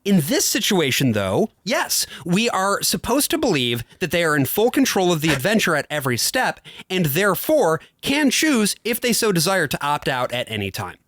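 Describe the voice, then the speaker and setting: A man's voice: sits high, huge pitch swings, moderate pace, hardly any pauses, a bit bright, no room sound, clean studio sound. A man co-hosting a podcast, explaining and commenting casually with emphatic phrasing. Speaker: podcast co-host.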